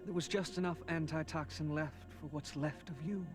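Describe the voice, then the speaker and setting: Man acting a sly, high-voiced foppish villain. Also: steady tone, normal speed, natural voice. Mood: smug mockery. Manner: sardonic, affected, speaks of himself by name. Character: sly, foppish male villain, mockingly amused. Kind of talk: animated action cartoon acting. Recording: music behind.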